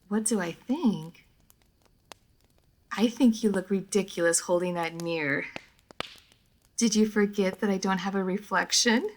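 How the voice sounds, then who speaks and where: Slow-spoken, prim vampire lady, acted by a woman. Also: mid-range voice. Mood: teasing. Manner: formal, old-fashioned, measured phrasing. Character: centuries-old female vampire, prim, proud, guarded yet playful. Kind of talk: roleplay audio drama addressed to the listener.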